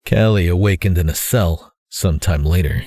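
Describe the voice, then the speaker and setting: A man's voice: sits low, moderate pace, dark tone, no echo. A man reading scripted dramatic narration as the narrator of an audio story.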